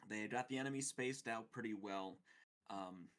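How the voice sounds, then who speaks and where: A man speaking as a co-host in a casual review chat, giving measured, hedged opinions. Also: lowish voice, a bit bright. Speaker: gaming show co-host.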